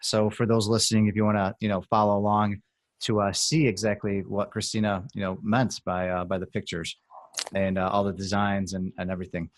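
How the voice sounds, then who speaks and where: A man hosting a podcast, conducting a casual interview in a halting, conversational manner. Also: lowish voice, flat delivery, dry recording.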